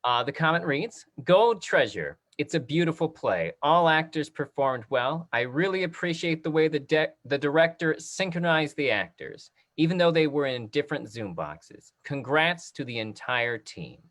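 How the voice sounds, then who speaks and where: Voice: mid-range voice, expressive, normal speed, hardly any pauses, fairly bright tone. An upbeat, encouraging host casually running a theater talkback over a video call.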